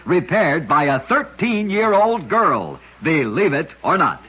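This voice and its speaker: A man's voice: fairly high pitch, big pitch swings, a little slow, pushed and bright, breathy edge. A man narrating short curiosities for radio in a scripted, dramatic style with emphatic storytelling. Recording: dull lo-fi old radio recording.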